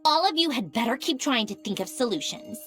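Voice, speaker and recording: A woman voicing a small, excitable childlike companion creature in an anime game, exclamatory and bossy. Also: a little high, fairly quick, over music. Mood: bossy, urging. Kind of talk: anime game cutscene acting.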